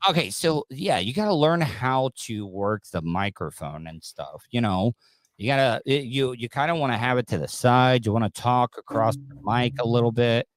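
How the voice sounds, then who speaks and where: A man's voice: medium pitch, lively intonation, normal speed, no room sound. Rambling man hosting a casual podcast. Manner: rambling, restarts and fillers, joking. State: sweating, coming down with a sinus infection. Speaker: podcast host. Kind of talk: casual livestream chat.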